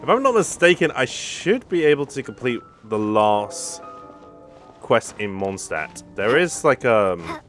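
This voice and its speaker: A man's voice: medium pitch, sing-song, unhurried, fairly bright tone. A man streaming a video game, giving casual commentary with offhand asides and murmured reactions. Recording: faint game music behind the voice.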